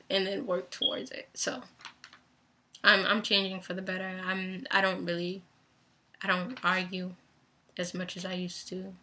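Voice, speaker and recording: A young woman in a reflective personal vlog, speaking thoughtfully and deliberately about faith, her voice going dry and raspy. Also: medium pitch, pushed and bright. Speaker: vlogger and author.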